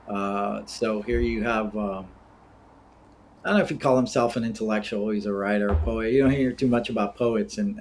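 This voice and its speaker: A man in his fifties with an American accent, telling stories casually as an interview guest in a halting, digressive way. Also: lowish voice, darkish tone, a little hiss or hum. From born in Cuba, grew up in the United States. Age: 50s. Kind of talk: casual conversational storytelling.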